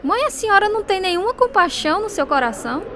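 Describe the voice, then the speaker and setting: A woman's voice: very high-pitched, big pitch swings, fast, crowd noise. An actress playing a devout, hurt young daughter who tells her life story earnestly in a Brazilian Portuguese radio drama. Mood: hurt, pleading. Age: young adult. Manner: earnest, heartfelt. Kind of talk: radio drama narration and dialogue. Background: music.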